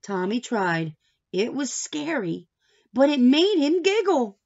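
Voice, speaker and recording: A woman reading a picture book aloud to young children like a teacher, playful and expressive, with asides, questions and some Spanish words mixed in. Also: high voice, takes it slowly, creaky, dull audio. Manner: playful, expressive, with gasps and exaggerated reactions; drops Spanish words in and translates them.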